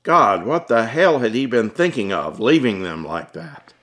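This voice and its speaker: Low-voiced man narrating a suspenseful audiobook. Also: big pitch swings, measured pace, a bit bright. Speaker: author reading his own audiobook. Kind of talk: scripted dramatic narration.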